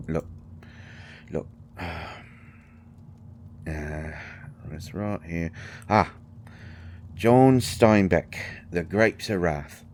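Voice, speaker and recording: Gruff folksy male character, acted by a man, bass-low and slow, stammering, for intimate roleplay audio drama. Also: heavily acted. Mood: distracted, searching. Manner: halting, stammering, folksy colloquial speech. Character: gruff, folksy, flustered man comforting a vampire guest.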